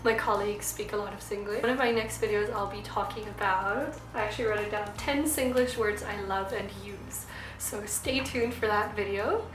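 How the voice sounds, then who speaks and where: Hoarse young woman vlogging chattily. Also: mid-range voice, normal speed. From South Africa. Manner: chatty and upbeat, with laughs. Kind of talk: casual vlog talk to camera. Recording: echoey room.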